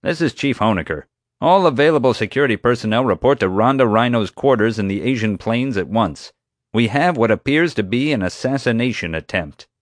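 A man voicing a pompous, gruff, territorial security chief with a curt, commanding manner in an audiobook. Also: mid-range voice, lively intonation, a little fast, no room sound, clean studio sound, pitched above the performer's own voice, lightly acted. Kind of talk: audiobook character dialogue.

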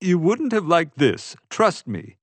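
A man putting on a fussy, congested man for an audiobook. He sounds nasal and exasperated, pitched up and swinging emphatically through his complaints, in a dry close recording. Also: big pitch swings, slow, no echo, exaggerated voice. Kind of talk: audiobook character voice.

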